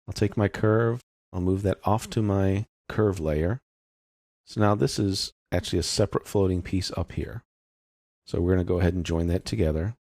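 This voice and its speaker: Deep-voiced man giving a software tutorial. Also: normal speed, dry recording, studio quality. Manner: informal, explanatory. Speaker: software instructor. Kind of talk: step-by-step screen tutorial narration.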